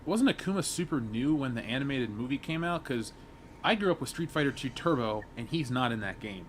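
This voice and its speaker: A man's voice: mid-range voice, expressive, brisk, slight background noise. A man speaking casually as a panelist in an informal discussion. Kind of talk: casual chat. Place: convention panel room.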